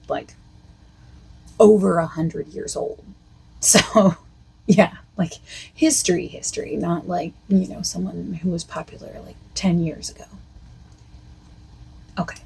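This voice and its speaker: A woman speaking softly and partly in whispers as a costume consultant in an ASMR roleplay, with a hesitant, filler-heavy way of talking. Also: creaky, husky, low-quality recording. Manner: soft-spoken, drifting between whisper and low voice. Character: female costume consultant asking the listener questions.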